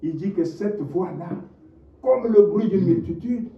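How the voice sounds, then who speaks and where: A man preaching a sermon, impassioned, dropping to low murmurs and rising to shouted rhetorical questions. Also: a little slow. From DR Congo. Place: church hall. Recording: echoey, distant, dull lo-fi church recording.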